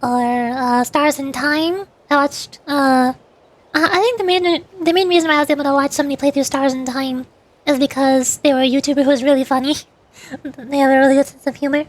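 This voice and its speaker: A woman's voice: high-pitched, fairly quick. A woman streamer chatting casually over gameplay in a chatty, giggly way. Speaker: VTuber streamer.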